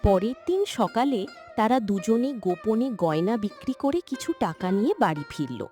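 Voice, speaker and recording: A woman narrator telling a scripted cartoon story in a dramatic, expressive way. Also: mid-range voice, moderate pace, soft background music.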